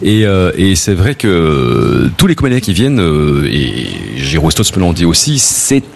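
A man's voice: low voice, sing-song, moderate pace, gravelly. A male guest on a radio interview, explaining his work in a chatty way full of fillers. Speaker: TV comedy show producer. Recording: faint background noise.

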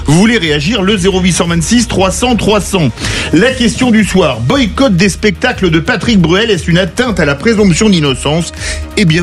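Man, radio host, high voice, brisk, joking, faint music behind. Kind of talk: live radio hosting.

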